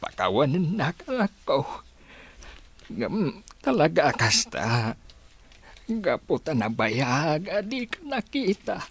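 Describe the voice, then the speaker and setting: Slow, sorrowful old Ilocano father acted by a man. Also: a little high, huge pitch swings, slightly rough, full character voice. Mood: tearful, pleading. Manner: slow, emotional, pleading. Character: frail, sorrowful old village father near death, longing for his daughter. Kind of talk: Ilocano radio drama acting.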